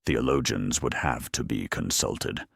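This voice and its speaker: A man reading a scripted dramatic story in a measured, deadpan manner with dry humour. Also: rumbling low, creaky, slightly rough, dry recording. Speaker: narrator.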